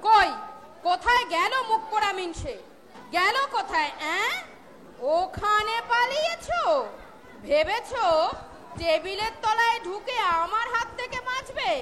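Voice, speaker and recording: A woman playing a domineering, sharp-tongued middle-aged wife, scolding and threatening in a staged comic audio drama. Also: very high-pitched, animated, measured pace, long pauses, a crowd in the background. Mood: menacing. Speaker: amateur actor, schoolteacher. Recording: echoey hall, noisy audience.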